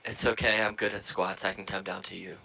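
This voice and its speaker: A man's voice: deep voice, level tone, fairly quick, gravelly, pushed and bright, full character voice, low-quality recording. A man doing a dim, self-pitying muscleman. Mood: deadpan. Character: dim, vain muscular man fighter.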